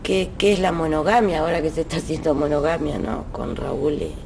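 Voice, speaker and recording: Creaky, higher-voiced man chatting. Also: sing-song, fairly quick, darkish tone, slight background noise. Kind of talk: casual conversation.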